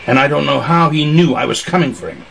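A man's voice: mid-range voice, lively intonation, fast, gravelly, a bit bright, slight background noise, low-quality recording. A man playing a hard-boiled, gritty noir storyteller in a radio theater monologue, intensely recounting violence. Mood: tense.

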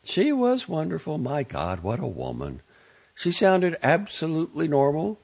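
A man's voice: on the high side, huge pitch swings, measured pace, creaky, a little mellow, husky, highs cut off, pitched above the performer's own voice, exaggerated voice. A man voicing a brash, bossy, irritable woman in an audiobook, with a sardonic, clipped, emphatic delivery. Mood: breezy, weary, bossy. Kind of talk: audiobook character dialogue.

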